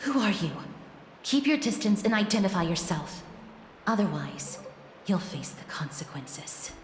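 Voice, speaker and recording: An actress playing a guarded, quietly authoritative goddess of death in a video game, with a cool, formal and wary manner. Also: female voice, mid-range voice, sing-song, measured pace, slight background noise. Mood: stern, threatening. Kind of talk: video game character acting. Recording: echoey reverberant space, faint game music. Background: game music.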